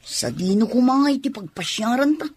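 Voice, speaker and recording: A woman's voice: fairly high pitch, expressive, normal speed, darkish tone, slightly rough, faint music behind. An actress with a Filipino Ilocano accent playing a feisty, tomboyish young woman in a radio comedy-drama. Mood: playful.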